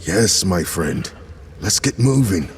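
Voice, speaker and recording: A man acting in an audio drama as a steady, knowing guide and loyal companion, deliberate and reassuring. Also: low-pitched, full character voice. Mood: concerned. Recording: long reverb, faint ambient noise.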